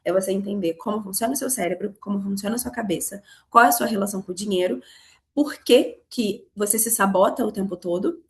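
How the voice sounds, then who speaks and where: A Brazilian woman from Rio with a Carioca accent, hoarse from a cold, teaching a live online class on money, talking straight to her students. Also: medium pitch, quick pace. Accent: Carioca Brazilian Portuguese. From Rio de Janeiro, Brazil. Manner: explanatory, addresses students directly. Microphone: video call.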